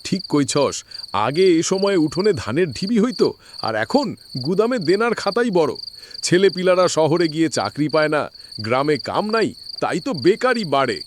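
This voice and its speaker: A woman's voice: low voice, very animated, normal speed. A woman voicing a respectful, nostalgic village man in a cartoon, rustic and wistful, over chirping background noise.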